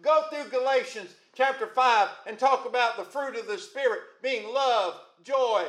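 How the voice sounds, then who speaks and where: A man preaching a sermon in a booming voice, folksy and calling out to the congregation. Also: measured pace, fairly bright tone, echoey room. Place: church.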